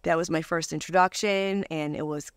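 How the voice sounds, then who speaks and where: Low-pitched woman, casual testimony. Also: steady tone, moderate pace, smooth, dry recording, clean studio sound. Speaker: interview guest telling a personal testimony.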